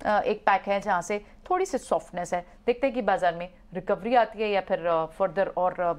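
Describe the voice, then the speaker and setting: A woman's voice: mid-range voice, moderate pace, darkish tone. A female market reporter giving live commentary in a hesitant way, with many filler sounds.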